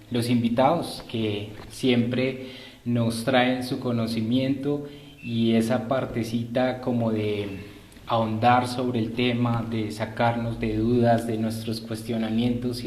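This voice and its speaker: A man's voice: medium pitch, level tone, slow, darkish tone, slight background noise. A man hosting a live stream, politely greeting and informing viewers in a hesitant, improvised way. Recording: roomy echo.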